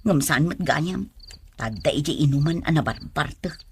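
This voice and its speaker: A woman's voice: low-pitched, lively intonation, normal speed, creaky, slight background noise. A woman playing a devoted, stubborn young woman in love, in a radio drama. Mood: anxious. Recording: music under the voice.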